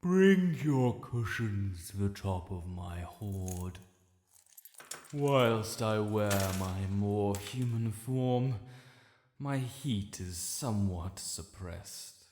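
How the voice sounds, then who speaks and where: Man voicing a slow, regal British dragon lord. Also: lowish voice, animated, a little mellow. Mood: soothing, protective. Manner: formal, measured, stately diction. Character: ancient male dragon lord, regal, stern yet protective. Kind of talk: fantasy audio roleplay, addressing the listener. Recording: echoey cavernous reverb.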